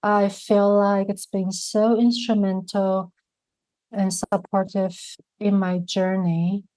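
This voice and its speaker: A woman with a Mandarin accent, a native Mandarin speaker, talking slowly and thoughtfully as a guest in an interview. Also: medium pitch, flat delivery, mellow, rough recording. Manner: slow, thoughtful, searching for words. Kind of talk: reflective interview conversation.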